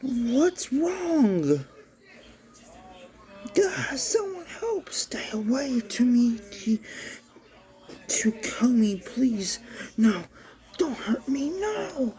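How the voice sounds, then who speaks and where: A man's voice: extremely high pitch, big pitch swings, extremely slow, long pauses, warm tone, faint music behind, voice pushed up, full character voice. A man voicing a terrified twelve-year-old boy, crying out in panic. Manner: panicked whimpering cries.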